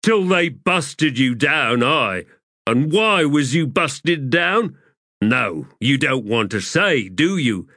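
A male narrator voicing a stubborn, surly soldier who growls out slow, drawled slang. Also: medium pitch, sing-song, bright, forceful tone, no echo, clean studio sound, pitched above the performer's own voice, exaggerated voice.

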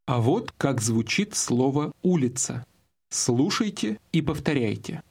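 A man's voice: mid-range voice, very animated, unhurried, a little mellow. A man giving scripted instructions in a language course, prompting the listener through each phrase. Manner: clear, guiding prompts.

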